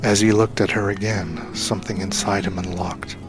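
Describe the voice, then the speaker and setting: A man narrating a dramatic scripted story in a measured, solemn way, with phrases sometimes falling hushed and breathy. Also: deep voice, level tone. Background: background music.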